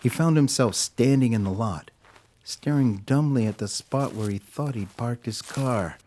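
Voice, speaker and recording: A man's voice: fairly low pitch, warm tone. A man reading scripted story narration as the narrator of an audio drama.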